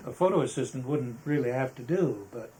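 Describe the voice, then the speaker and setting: An older American man reminiscing casually in an interview, halting and rambling as he talks. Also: mid-range voice, expressive, warm tone. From Arizona, United States. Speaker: interviewee, veteran photographer. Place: indoors, photographer's studio.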